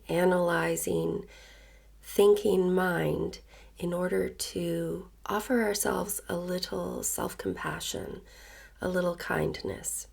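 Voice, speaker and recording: A woman leading a guided meditation in a soft voice that turns breathy at phrase ends, gentle and unhurried with long pauses. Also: lowish voice. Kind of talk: guided meditation, slow and soothing narration. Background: soft background music.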